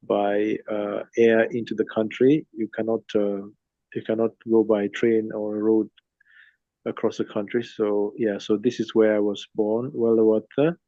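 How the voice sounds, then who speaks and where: A man in his fifties with a low, mellow voice gives an informal online talk. His delivery is halting and fairly flat, with frequent rephrasing. The sound is dry and slightly dull, like a phone on a call. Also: dry recording. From Sri Lanka, later Nigeria. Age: 50s. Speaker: speaker at a cultural talk. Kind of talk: informal online presentation with slides.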